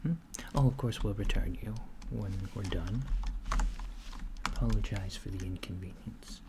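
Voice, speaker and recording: A man doing soft-spoken ASMR roleplay as a polite, curious alien clerk, hesitant and apologetic, often slipping toward a whisper. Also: fairly low pitch, measured pace. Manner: hesitant, apologetic, earnest questioning, drifting into whispers. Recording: noisy close recording with keyboard typing. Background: computer keyboard typing.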